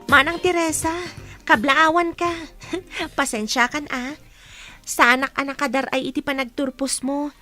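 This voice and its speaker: A woman's voice: high-pitched, steady tone, measured pace, pushed and bright, soft background music. A woman with a Filipino accent playing a cheerful, dutiful teenage schoolgirl in a radio drama. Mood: warm, encouraging. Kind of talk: Ilocano radio drama acting.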